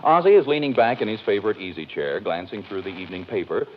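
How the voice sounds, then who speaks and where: A man's voice: lowish voice, fast talker, slight background noise. A man announcing and narrating in a polished, theatrical 1940s radio style, reading from a script. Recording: dull old radio recording. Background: music.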